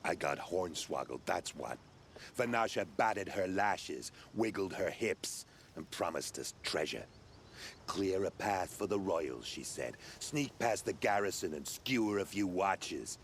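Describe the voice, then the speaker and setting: Game role: bitter mercenary boss, low voice, sardonic folksy grumbler, noisy backdrop. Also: male voice, animated, measured pace. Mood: bitter, rueful. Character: grumbling, sarcastic mercenary crew leader, bitter survivor. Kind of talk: video game cutscene dialogue acting.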